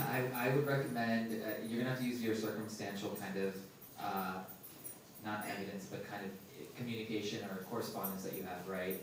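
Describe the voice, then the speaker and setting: A man on a live panel answering audience questions in a methodical way, often checking in with "right?". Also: lowish voice. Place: large indoor event space. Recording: echoey hall from far off, noisy.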